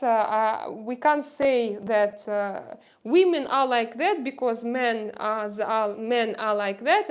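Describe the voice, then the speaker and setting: Russian-accented woman streamer, young adult, pitched a little high, unhurried and full of pauses. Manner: halting, many "uh" fillers, reads out chat messages. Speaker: live streamer. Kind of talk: casual live-stream chat reading viewer comments.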